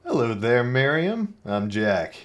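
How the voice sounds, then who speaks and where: A man playing a self-serious, dim-witted private detective, narrating his case with deadpan drama in a comedy noir parody. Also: medium pitch, takes it slowly, echoey room. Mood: suspicious.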